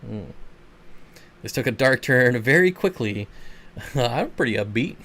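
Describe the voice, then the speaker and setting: A man's voice: medium pitch, sing-song, takes it slowly, a bit bright. A man hosting a casual livestream, answering viewer comments in a laid-back, rambling way and chuckling often. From Michigan. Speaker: channel host.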